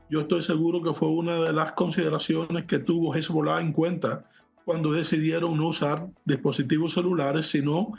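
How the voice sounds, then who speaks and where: A man speaking as a technology expert guest, explaining things in a measured, didactic and precise manner. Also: on the high side, creaky. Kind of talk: explanatory interview commentary. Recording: muffled lo-fi audio, music behind.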